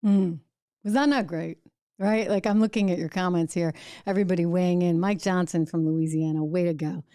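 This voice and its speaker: A woman's voice: lowish voice, steady tone, brisk, warm tone, clean tone, no echo, studio quality. A woman hosting a political commentary show, speaking emphatically and reacting to clips with rhetorical questions. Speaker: host.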